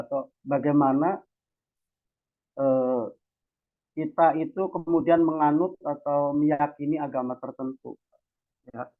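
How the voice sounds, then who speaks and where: A man from Central Java gives an informal lecture, chatty and slangy, often checking that listeners follow. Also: fairly high pitch. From Cilacap, Central Java, Indonesia. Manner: conversational, repeatedly adds checking tags while explaining. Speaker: academic discussion host and speaker. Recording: tinny phone-like online audio.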